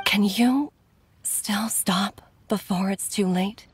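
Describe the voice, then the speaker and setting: A woman voicing a worried, tender doctor and mother who pleads softly, sometimes near a whisper, in a game cutscene. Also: medium pitch, takes it slowly, slight background noise, natural voice. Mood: pleading. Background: music.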